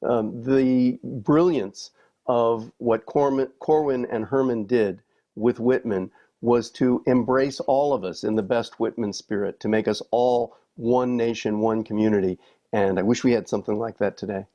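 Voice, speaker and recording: A man's voice: mid-range voice, fairly even intonation, a little slow, warm tone, highs cut off. A man speaking as a commentator in a reflective interview style.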